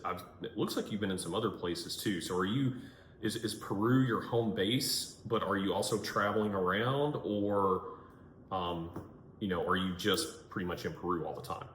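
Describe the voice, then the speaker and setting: A man's voice: lowish voice, normal speed, a bit bright. A man hosting a podcast and interviewing a guest in a casual, conversational way. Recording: echoey room, clear background noise.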